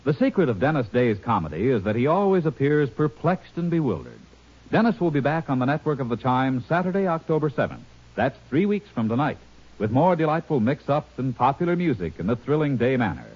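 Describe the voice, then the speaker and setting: Lively 1950s network announcer. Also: male voice, mid-range voice, fairly quick. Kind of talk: 1950s radio promo. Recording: dull old radio recording.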